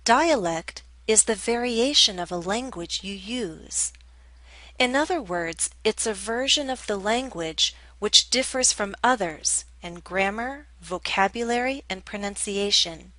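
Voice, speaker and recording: An American woman teaching English pronunciation, speaking in a measured, clear way with a General American accent. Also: mid-range voice, lively intonation, bright, forceful tone, no echo, rough recording. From United States. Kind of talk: scripted lesson narration.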